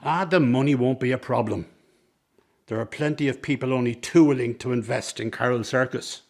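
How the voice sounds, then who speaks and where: Smooth-talking, scheming circus man, played by an actor. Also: mid-range voice, brisk, darkish tone, lightly acted. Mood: persuasive, scheming. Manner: ingratiating, persuasive, coaxing. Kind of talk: dramatic radio play dialogue.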